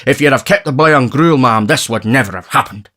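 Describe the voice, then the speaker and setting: A man's voice: mid-range voice, a little fast, fairly bright tone, voice pushed up, close to an everyday voice. Mr Bumble: a man doing a pompous stern beadle. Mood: lecturing. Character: pompous, bullying parish beadle, a stout man.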